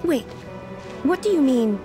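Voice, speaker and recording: A regal female god-ruler who speaks formally and calmly, her fairly high voice moving with lively melody. Game music runs underneath. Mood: composed. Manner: formal, calm and measured. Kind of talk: video game voice acting.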